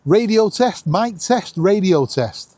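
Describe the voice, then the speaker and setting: A middle-aged man with a husky voice, chatting casually and excitably as he hosts a vlog. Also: high-pitched, takes it slowly, no echo. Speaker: vlog host. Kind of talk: casual chat to camera while testing a radio.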